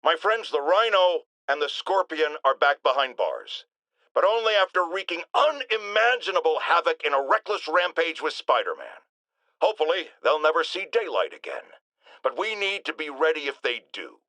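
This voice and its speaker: Blustering, sarcastic male radio host for a game: middle-aged, outraged, high and animated, theatrical monologue delivery, dry studio sound. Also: normal speed, no room sound, heavily acted, poor audio. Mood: pitching. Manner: pompous, sarcastic, emphatic, theatrical.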